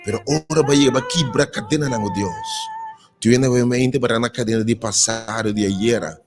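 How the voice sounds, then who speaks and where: A man leading an impassioned prayer, his voice sinking low and soft at the end of each phrase. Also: brisk. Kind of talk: fervent prayer over music. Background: music playing.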